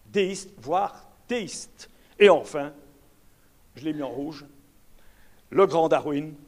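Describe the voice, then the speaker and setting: A man's voice: a little high, a little mellow. An older man with a Belgian French accent giving a public lecture, emphatic and full of rhetorical asides to his audience. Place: lecture hall.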